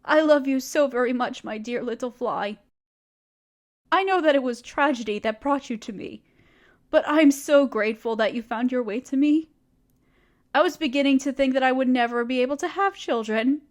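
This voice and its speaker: A woman voicing a gentle, protective, motherly spider queen in an audio roleplay, speaking softly, patiently and reassuringly. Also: sits high, moderate pace, close to an everyday voice. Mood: tender. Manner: soft, patient, doting and reassuring.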